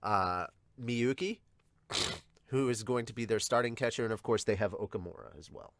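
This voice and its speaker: A man's voice: fairly low pitch, normal speed, fairly bright tone, no room sound. A man chatting casually as he reacts to a manga, often amused as he explains things. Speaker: podcast host reacting to manga. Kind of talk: casual reaction chat with manga read-aloud.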